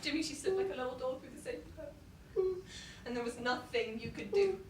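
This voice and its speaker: A woman's voice: high voice, expressive, quick pace. A middle-aged woman playing a grieving mother, angry yet loving, in a stage drama. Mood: tender. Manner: sharp accusation turning to tender grief. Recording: echoey stage from a distant mic, noisy.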